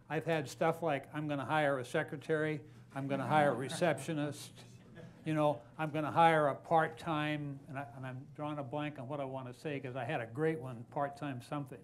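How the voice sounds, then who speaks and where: A man's voice: on the high side, steady tone, normal speed. A man speaking as a panelist in a live investor panel, rambling and correcting himself as he goes.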